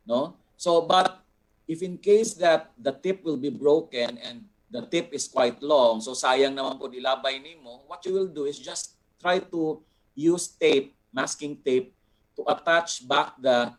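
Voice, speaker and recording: Male art instructor, Filipino accent, medium pitch. Deliberate, explanatory delivery in an informal Q&A, with searching pauses. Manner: deliberate, explanatory, pausing to find words. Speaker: art instructor answering audience questions. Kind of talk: informal question-and-answer teaching.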